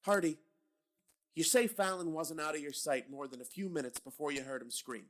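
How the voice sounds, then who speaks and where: An actor playing a gruff, suspicious police captain in a radio drama, blunt and sarcastic as he grills his men. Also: fairly high pitch, normal speed, lightly acted. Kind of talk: old-time radio drama reading.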